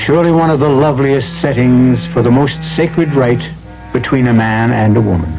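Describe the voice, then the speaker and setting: A man's voice: medium pitch, normal speed, gravelly, lo-fi sound, lightly acted. Man as solemn old-time radio storyteller, music behind. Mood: solemn, romantic. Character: solemn storyteller host setting a romantic scene. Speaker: host introducing the radio play.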